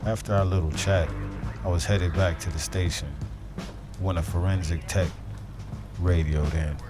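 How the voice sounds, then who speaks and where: A man playing a brooding, hardboiled police detective, narrating a noir audio drama in a measured, poetic, deadpan way. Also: sits low, natural voice. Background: music.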